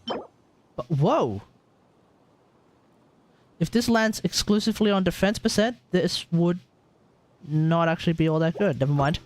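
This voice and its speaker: A man's voice: high voice, unhurried, pauses often, no echo. A man giving casual live commentary as a game streamer, with a grumbling, sardonic manner. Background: game music.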